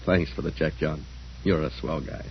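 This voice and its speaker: A wayward gambler in vintage radio drama, casual and coaxing in a low voice. The sound is muffled, with a faint hiss behind it. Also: male voice, moderate pace, vocal fry. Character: smooth-talking wayward gambler brother, coaxing. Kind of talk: old-time radio drama acting. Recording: muffled, hissy old radio recording.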